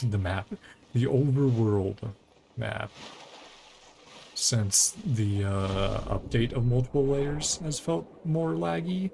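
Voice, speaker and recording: Male streamer, mid-pitched with vocal fry, thinking aloud in loose, casual gameplay commentary. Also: a little mellow, slight background noise. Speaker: video game streamer. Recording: game music behind the voice. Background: video game music.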